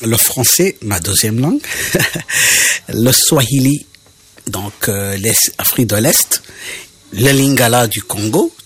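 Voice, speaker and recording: Husky Rwandan man, animated and halting. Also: mid-range voice. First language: Kinyarwanda. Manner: animated, repeats words, hesitant fillers. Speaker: interviewee, singer. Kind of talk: casual interview conversation.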